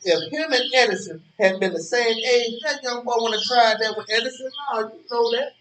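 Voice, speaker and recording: An actor plays an argumentative old man with a high voice in a stage play. He stammers and hammers his points by repeating them. The recording is roomy and distant, with some noise behind it. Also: a little fast, fairly bright tone. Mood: grave, insistent. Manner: stammering, repetitive, emphatic, argumentative. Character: cranky, worried old man bickering with his friend. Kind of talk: live stage play dialogue.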